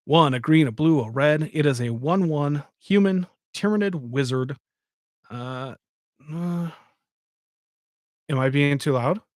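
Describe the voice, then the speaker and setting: A man's voice: on the high side, lively intonation, takes it slowly, pauses often, dry recording, studio quality. Chatty man hosting a live stream. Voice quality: says he has a soft voice. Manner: rambling, talks to chat. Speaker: podcast host. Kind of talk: casual live-stream chat.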